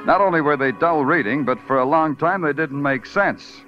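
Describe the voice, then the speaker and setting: An actor playing a wry, hardboiled New York police detective in 1940s radio noir, dry, clipped and sardonic. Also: male voice, a little high, moderate pace, close to an everyday voice. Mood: brooding narration. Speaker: lead actor and narrator. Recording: muffled old radio recording with music.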